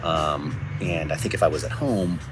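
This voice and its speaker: A man speaking casually in a self-recorded video diary on location, thinking aloud in a halting way with repeated words. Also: very deep voice. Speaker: audio drama writer and producer. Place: coffee shops and outdoors, on location. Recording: noisy on-location recording with ambient sound.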